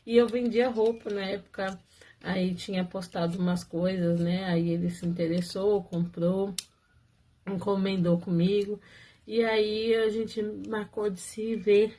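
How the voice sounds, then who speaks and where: A woman's voice: medium pitch, steady tone, unhurried, warm tone. A woman telling viewers her own love story in a casual, chatty way, often laughing and talking straight to them.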